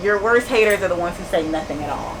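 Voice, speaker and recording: A woman's voice: lowish voice, expressive, fast talker, noisy background. A woman in her thirties, a business owner talking candidly in a casual interview, often repeating phrases and laughing easily. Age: 30s. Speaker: store owner interviewed as guest.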